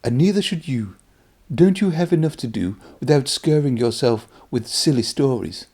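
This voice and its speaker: A man's voice: medium pitch, animated, moderate pace, darkish tone, husky, pitched above the performer's own voice. A man voicing a proud, grumbling but nervous steam engine in a storybook, with a huffy, blustering manner.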